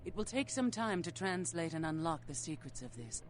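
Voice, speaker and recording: A woman playing a secretive ancient vampire scholar in a video game, speaking in a solemn, formal, measured way. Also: deep voice, very animated, a little hiss or hum. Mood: formal, reserved. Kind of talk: video game dialogue acting.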